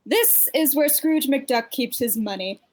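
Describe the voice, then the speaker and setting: A woman narrates a comic aloud as part of a live amateur dub. Her voice sits a bit higher than average, and she reads the captions as a storyteller. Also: expressive, measured pace.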